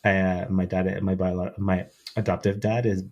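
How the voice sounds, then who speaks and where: A man's voice: sits low, level tone, measured pace, darkish tone, dull audio. A man in his thirties, from Peru and raised in Quebec, telling his story as a podcast guest in a hesitant way, with many fillers and restarts. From Peru, raised in Quebec, Canada. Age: 30s. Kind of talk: casual interview storytelling.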